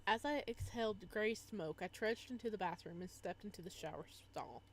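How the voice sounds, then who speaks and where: A woman's voice: mid-range voice, moderate pace. A woman playing through a visual novel, reading narration aloud and breaking in with casual, playful, teasing commentary. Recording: noticeable background noise.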